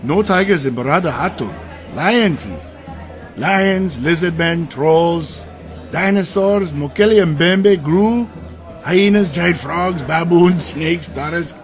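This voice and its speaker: A man's voice: on the high side, takes it slowly. An actor playing a fierce, boastful shaman warrior who speaks in terse, broken, emphatic phrases in a comic fantasy audio drama. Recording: music playing behind the voice.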